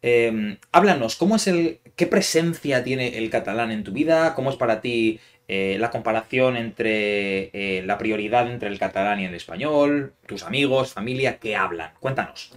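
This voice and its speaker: A young man from hosts a relaxed chat. His voice has an ordinary, mid male pitch. He asks questions in a friendly manner, often pausing on fillers as he thinks. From Spain. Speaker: podcast host, Spanish teacher. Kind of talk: casual interview chat.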